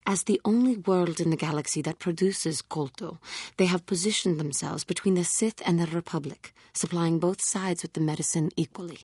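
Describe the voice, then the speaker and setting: A woman voicing an earnest, troubled young female Jedi warrior in a video game, speaking formally and with measured care in a husky voice. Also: fairly low pitch, a little mellow, no room sound, studio quality. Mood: composed, informative. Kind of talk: scripted game character dialogue.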